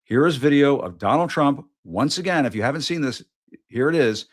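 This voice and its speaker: A man's voice: medium pitch, animated, fairly quick. A middle-aged man hosting a political news show, talking casually and mockingly, often restarting and repeating his lines.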